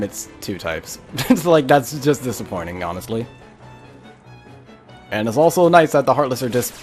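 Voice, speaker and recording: A man's voice: mid-range voice, a little mellow. A man hosting a let's play, giving casual commentary and thinking aloud as he goes. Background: faint game music.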